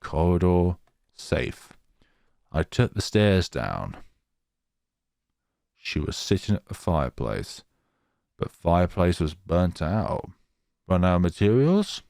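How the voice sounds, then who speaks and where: Slow, low-voiced man narrating in a roomy space. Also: sing-song, creaky, a little mellow, clean studio sound. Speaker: streamer playing a horror visual novel. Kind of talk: reading game narration aloud dramatically, with casual asides.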